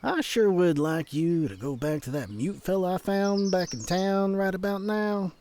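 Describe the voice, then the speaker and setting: A man's voice: high-pitched, darkish tone, slight background noise, natural voice. An actor playing a cocky, wily old man in a Western audio drama, with a folksy Southern American drawl and a teasing manner. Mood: wry, guarded. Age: older. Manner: folksy, teasing, drawn-out delivery.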